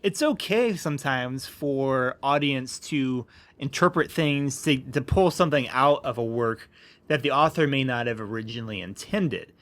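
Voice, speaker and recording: A man's voice: mid-range voice, lively intonation, moderate pace, a bit bright. A man speaks as one host of a discussion podcast. His style is casual and unscripted, like an everyday conversation between co-hosts.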